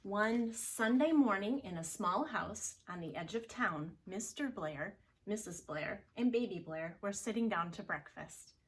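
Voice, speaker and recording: A woman reads a picture book aloud as a preschool teacher would. Her delivery is slow and expressive, with animated intonation aimed directly at small children. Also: medium pitch.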